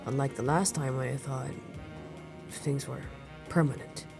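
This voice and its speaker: A man's voice: medium pitch, fairly even intonation, a little slow. A man playing an easygoing, teasing veteran of a crime syndicate in an audio roleplay, conversational and amused. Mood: wistful. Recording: music playing clearly behind the voice.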